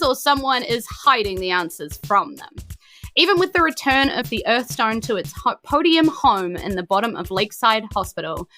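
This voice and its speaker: Bright-voiced New Zealand woman game master. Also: a little high. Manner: theatrical storytelling mixed with chatty asides. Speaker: game master and podcast host. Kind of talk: narrating a tabletop game on a stream.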